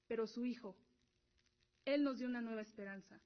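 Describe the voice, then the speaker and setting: An earnest young noblewoman of a warrior tribe played by a woman in a radio play. Her voice sits fairly high, and the recording is dull and lo-fi. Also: fairly quick, lightly acted. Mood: earnest, hopeful. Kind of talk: scripted audio drama acting.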